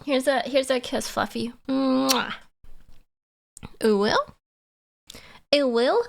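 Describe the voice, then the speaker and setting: A young woman streams live in a loose, giggly, self-teasing way. A cold leaves her voice hoarse, stuffy and full of gravelly fry, sitting a little above the usual pitch for a woman. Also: slow pace. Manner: playful and giggly, teasing herself. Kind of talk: casual live-stream chat.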